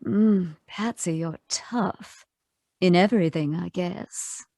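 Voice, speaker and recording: A woman's voice: fairly low pitch, dark tone, no room sound. Woman acting a cool, dry-recorded femme fatale. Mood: cool, taunting. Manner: unhurried, taunting wisecracks. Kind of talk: 1940s radio noir acting.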